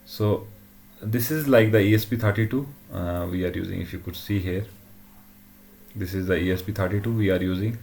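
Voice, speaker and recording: A man presenting an informal technical demo in a halting way, often checking in with short tag questions. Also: low voice, vocal fry, darkish tone, a little hiss or hum. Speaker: tutorial presenter.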